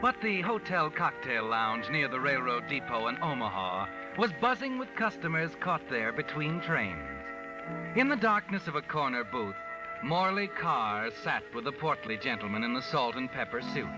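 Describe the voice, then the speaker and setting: An actor playing a mysterious, all-knowing night-walking narrator, ominous and dramatic, in 1940s radio mystery style. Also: male voice, mid-range voice, big pitch swings, moderate pace, over music, lightly acted, low-quality recording. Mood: knowing storytelling.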